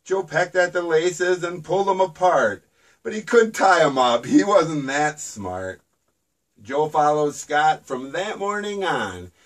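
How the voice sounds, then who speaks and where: A man's voice: on the high side, recorded from a distance. A man reading his own rhyming children's book aloud in a sing-song storybook manner.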